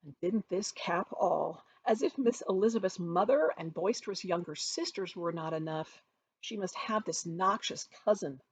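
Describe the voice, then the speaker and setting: A woman reading her novel aloud as expressive storybook narration, with dramatic, emphatic phrasing. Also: lowish voice, normal speed, breathy edge, no echo. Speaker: author reading from their own novel.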